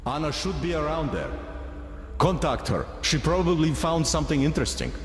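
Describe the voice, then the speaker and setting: An actor playing an easygoing, pragmatic soldier in a video game, talking in a wry, conversational way. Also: male voice, a little high, big pitch swings, moderate pace, a little hiss or hum. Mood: casual. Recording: echoey hall, loud music behind. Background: game music.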